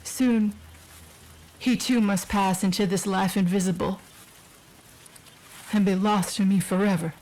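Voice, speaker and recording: A woman playing the sorrowful ghost of a murdered wife and mother, speaking in a solemn, measured, formal literary monologue. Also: mid-range voice, fairly even intonation, darkish tone, close to an everyday voice. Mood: grieving. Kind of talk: literary gothic monologue in an audio drama.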